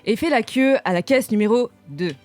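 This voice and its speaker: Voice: medium pitch, expressive, moderate pace, darkish tone, soft background music. A radio columnist presenting manga in a casual chat, with playful jokes to the listeners. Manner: playful, jokey asides to the audience. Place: radio studio.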